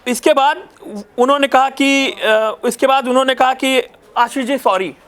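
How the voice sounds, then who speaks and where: Very high-pitched man presenting news commentary. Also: a little slow, fairly bright tone, smooth. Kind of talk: news commentary to viewers, recounting calls.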